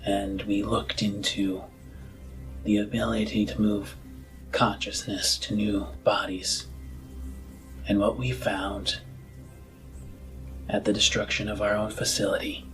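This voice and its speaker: A man voicing a solemn, visionary politician and former scientist in a tabletop game, speaking in deliberate, philosophical speeches with dramatic pauses. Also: lowish voice, little pitch movement, a bit bright, faint music behind, poor audio. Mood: grave, persuasive.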